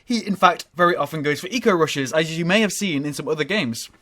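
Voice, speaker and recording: A man's voice: a little high, brisk, pushed and bright, dry recording, clean studio sound. Nasal, rambling male game commentator. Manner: rambling, with frequent ums and self-corrections. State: nasal from a cold. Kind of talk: casual strategy game commentary.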